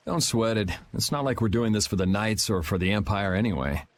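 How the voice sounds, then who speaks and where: A man's voice: lowish voice, lively intonation, a little fast, slight background noise, natural voice. A man voicing a laid-back, sardonic young hero in a video game, casual and wry.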